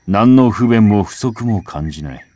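A man reciting a literary first-person text as a scripted narration, in a measured, contemplative way with dramatic phrasing. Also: lowish voice, lively intonation, mellow, no echo. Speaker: audiobook reader. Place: home recording.

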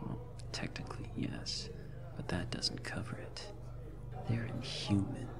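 A man playing a jaded, world-weary reclaimer in an ASMR roleplay, muttering in a guarded, boozy way with a breathy, whispery, creaky voice. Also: deep voice, big pitch swings, a little slow, heavily acted. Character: jaded, world-weary male reclaimer drowning his woes in drink. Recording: loud bar ambience music behind. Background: bar night ambience and music.

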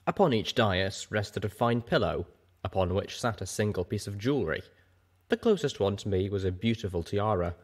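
A man reading scripted first-person fiction as an audiobook narrator. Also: fairly low pitch.

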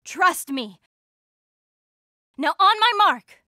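A brave teenage heroine in a cartoon takes charge of her friends with earnest resolve. Her voice is very high and bright with a lively, leaping pitch. The sound is close and dry. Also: extremely slow, no room sound, full character voice. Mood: determined. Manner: earnest and commanding. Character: brave teenage heroine, level-headed leader. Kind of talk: animated series voice acting.

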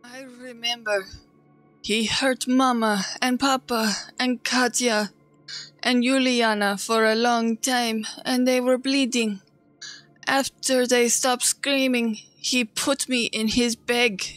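Woman doing scared traumatized little kid, high slow voice, broken sentences, horror story, music behind. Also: steady tone, fairly bright tone, dry recording. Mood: fearful, subdued. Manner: halting, simple broken sentences. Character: frightened, traumatized captive child with simple broken English. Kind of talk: dramatic horror audio reading.

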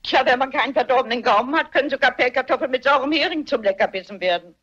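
A prim elderly lady detective in a radio drama, fairly high in voice. She is composed and dryly witty, always courteous but never cowed. The sound is a little dull and muffled. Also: a bit bright, breathy edge. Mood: polite, composed. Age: older. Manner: dry, polite, quick with retorts. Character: shrewd, prim, unflappable elderly lady sleuth posing as housekeeper. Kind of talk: German radio play acting.

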